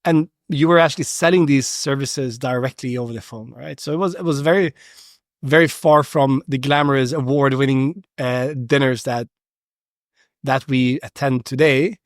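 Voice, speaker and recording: A man's voice: mid-range voice, steady tone, normal speed, no room sound. A man from Sweden speaking casually as an interview guest in a studio, feeling his way through phrases in short pieces with repeated words. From Stockholm, Sweden. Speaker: podcast guest, agency founder. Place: podcast studio.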